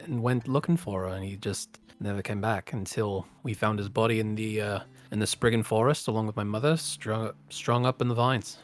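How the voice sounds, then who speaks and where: A man's voice: lowish voice, steady tone, normal speed, few pauses, close to an everyday voice. A man voicing a friendly young man in his twenties who is raising his little brother, talking in an easygoing, rambling way full of fillers. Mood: somber. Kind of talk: in-character tabletop roleplay.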